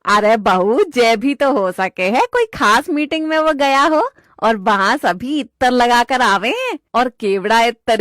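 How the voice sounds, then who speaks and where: A woman's voice: fairly high pitch, no echo, studio quality. Woman voicing blunt rustic North Indian mother-in-law. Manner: scolding, earthy exclamations. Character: older village mother-in-law, blunt, bossy, fiercely supportive.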